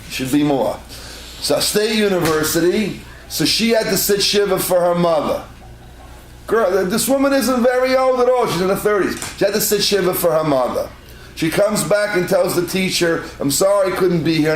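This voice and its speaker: A man's voice: fairly high pitch, echoey room, slight background noise, rough recording. A man, a rabbi, giving an informal class to a congregation in a synagogue, telling stories in a chatty way and repeating words for emphasis. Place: synagogue room.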